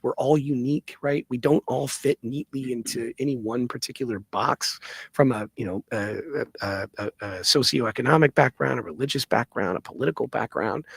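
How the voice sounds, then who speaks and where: A man's voice: medium pitch, gravelly, slightly rough, no room sound. A man answering audience questions as a guest in an earnest, emphatic, conversational way, often checking in with "right?". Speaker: guest, startup co-founder.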